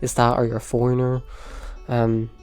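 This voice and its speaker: Young Northern Irish man, mellow and dry, casual storytelling, faint music bed. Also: completely flat delivery, normal speed, smooth. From Poland. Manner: dry, self-deprecating, low-key delivery. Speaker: vlogger.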